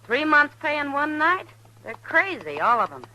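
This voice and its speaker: A woman's voice: high voice, sing-song, normal speed, sharp tone, a little hiss or hum, full character voice, low-quality recording. An actress playing a worldly, frank and chatty saloon woman in an old-time radio Western. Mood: relaxed, chatty. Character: worldly, sociable frontier saloon woman, plain-spoken.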